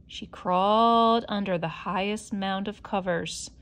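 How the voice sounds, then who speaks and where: A woman narrates a children's storybook at a very slow pace. Her voice sits at an ordinary female pitch, following a scripted tale line by line. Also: fairly even intonation, a bit bright. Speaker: storybook reader.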